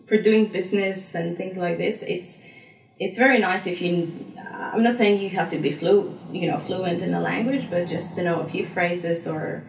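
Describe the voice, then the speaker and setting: A woman's voice: fairly low pitch, fairly even intonation, normal speed, vocal fry, a little hiss or hum. Young Slovenian woman, echoey distant sound. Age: young adult. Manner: chatty, frequent fillers and restarts, laughs easily. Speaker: interviewee, graduate student. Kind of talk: casual interview answers. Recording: echoey room, mic far off.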